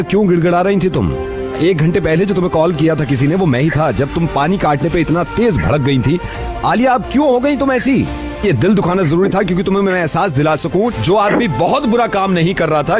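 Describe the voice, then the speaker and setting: Animated, impassioned male radio host. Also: sits high. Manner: earnest, emphatic, exhorting. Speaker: radio prank-call host. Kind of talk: impassioned motivational phone talk.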